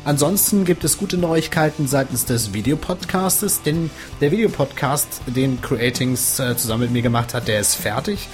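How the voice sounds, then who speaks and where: A man hosting a laid-back tech podcast at an average pitch, chatting easily and filling pauses with little sounds. Faint music plays behind his voice. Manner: relaxed, chatty, with filler sounds. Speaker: podcast host. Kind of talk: casual tech podcast interview.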